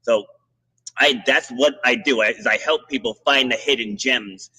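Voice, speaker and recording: Guy hosting a video podcast, pushy upbeat pep-talk vibe, kinda raspy. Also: mid-range voice, bright, forceful tone, rough recording. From Rhode Island. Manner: motivational, talks in quick bursts with restarts. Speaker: podcast host. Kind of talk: energetic podcast monologue and interview.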